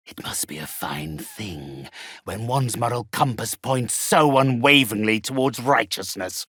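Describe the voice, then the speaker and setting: An actor voicing an ancient undead necromancer in a video game, raspy, pompous and formal, haughty and theatrically menacing. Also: male voice, low-pitched, fairly bright tone, dry recording, studio quality, full character voice. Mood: cold, scornful. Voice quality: raspy, hoarse.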